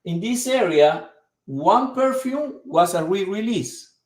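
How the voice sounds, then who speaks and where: A man's voice: high voice, expressive, slow pace. A man, speaking casually as a guest. He talks, often searches for words and uses many fillers. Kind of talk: casual conversation. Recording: echoey room.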